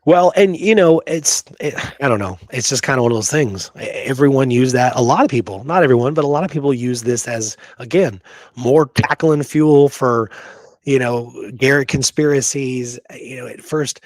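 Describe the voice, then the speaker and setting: A man's voice: medium pitch, normal speed, no echo, clean studio sound. A man hosting a history show, talking casually and emphatically, with pointed rhetorical questions.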